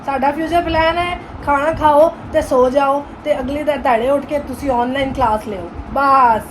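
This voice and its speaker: A woman's voice: high voice, steady tone, moderate pace, background noise. A woman in her twenties with a Pakistani accent, chatting casually in a vlog and mixing in English phrases and playful Punjabi. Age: 20s. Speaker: vlogger.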